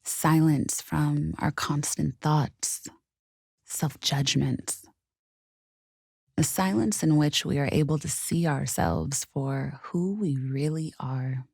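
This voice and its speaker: A woman guiding a meditation in a soothing, unhurried, softly spoken way with gentle pauses. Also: sits low, creaky, husky, no echo, clean studio sound. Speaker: meditation guide. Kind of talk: guided meditation narration.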